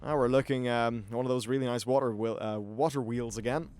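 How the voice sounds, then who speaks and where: A man's voice: medium pitch, steady tone, a little fast, a little mellow, smooth, no room sound. A man hosting a gaming video, giving casual, informal gameplay commentary with some swearing.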